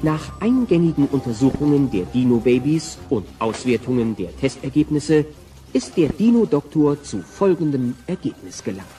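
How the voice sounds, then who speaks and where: An actor narrating a comic dinosaur tale in scripted German audio drama storytelling style. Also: male voice, medium pitch, expressive, moderate pace, music in the background, natural voice.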